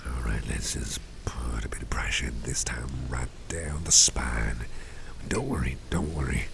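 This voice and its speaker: A man playing a caring, easygoing boss in an ASMR roleplay, murmuring softly and breathily in a rambling, reassuring way, close to the microphone. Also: a bass voice, a little slow, creaky, noisy background, exaggerated voice. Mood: gentle, reassuring. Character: caring, easygoing male boss, gently soothing and chatty. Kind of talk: ASMR roleplay, soft personal-attention talk.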